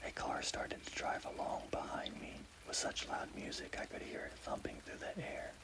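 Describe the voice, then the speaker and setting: A man narrating a scary story as ASMR in a breathy, unvoiced whisper. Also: normal speed.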